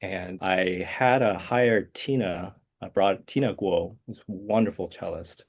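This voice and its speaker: Gravelly low-voiced man. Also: unhurried. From South Korea. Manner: soft-spoken, hesitant, many uh and um fillers. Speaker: guest composer. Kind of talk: interview answers.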